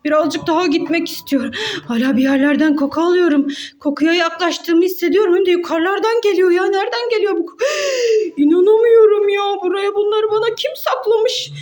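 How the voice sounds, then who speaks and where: Children's toy skit: female performer as excitable glutton, extremely high voice, exclamatory and melodramatic. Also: a little fast. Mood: amazed, eager. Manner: exclaiming, gasping, melodramatic, talks to itself. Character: gluttonous excitable toy character sniffing out hamburgers, scheming cook.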